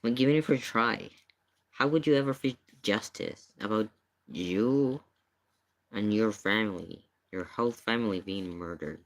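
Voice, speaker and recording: A man's voice: on the low side, measured pace, low-quality recording. A man giving casual live commentary in an emphatic, questioning way, often repeating his points. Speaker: streamer reacting to paranormal investigation video.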